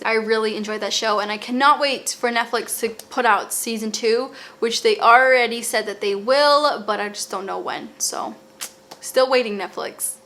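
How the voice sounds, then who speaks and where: Bright-voiced Boston woman chatting casually. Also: a little high. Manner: chatty, rambling with fillers and restarts. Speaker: lifestyle vlogger. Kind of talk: casual show recommendations.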